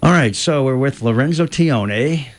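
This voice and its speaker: A man's voice: medium pitch, expressive, measured pace, no room sound. A man co-hosting and producing a live radio talk show, announcing and interviewing in an upbeat manner from a radio studio. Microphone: studio microphone.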